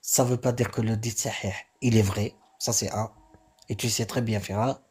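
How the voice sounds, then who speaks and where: Brief: young man with a husky voice, measured and stubborn in a live debate. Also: lowish voice, steady tone, a little mellow. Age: young adult. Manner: slow, deliberate, repeats his point. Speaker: debate participant.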